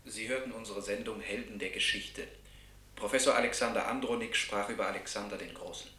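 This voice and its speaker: An actor playing a formal radio announcer within a radio drama, heard over a broadcast. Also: male voice, fairly low pitch, a little fast, bright, forceful tone. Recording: echoey, distant radio-set playback.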